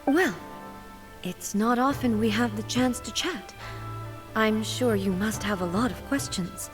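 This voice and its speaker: A woman voicing a composed, dignified female ruler with a formal, measured manner in an anime video game. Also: fairly high pitch, recorded from a distance, natural voice. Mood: reflective. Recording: background music behind the voice.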